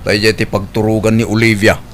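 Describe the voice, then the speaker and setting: An actor playing a defiant, domineering cheating husband in an Ilocano radio drama. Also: male voice, lowish voice, flat delivery, brisk, fairly bright tone, close to an everyday voice. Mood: defiant, stern.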